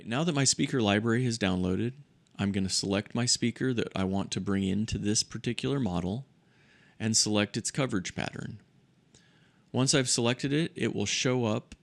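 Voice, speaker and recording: A man with a somewhat low voice narrates a software walkthrough. He presents it as an instructional guide, moving methodically from one step to the next. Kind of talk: instructional screen-share narration.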